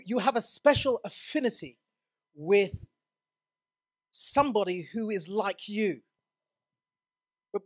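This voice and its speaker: Man, high voice, earnest sermon, repeats and restarts phrases, church setting. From England. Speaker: preacher.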